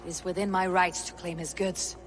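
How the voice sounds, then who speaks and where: A woman's voice: fairly low pitch, level tone, brisk, close to an everyday voice. Resolute Viking warrior woman, played by an actress. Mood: firm. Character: resolute, loyal female Viking warrior, young adult. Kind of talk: dramatic game cutscene acting.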